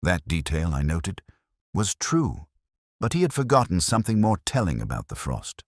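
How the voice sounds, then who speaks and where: A man playing a reflective, sceptical English detective, narrating a mystery story in a measured, literary, brooding manner. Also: low voice, huge pitch swings, dry recording, clean studio sound, full character voice. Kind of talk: scripted first-person mystery narration.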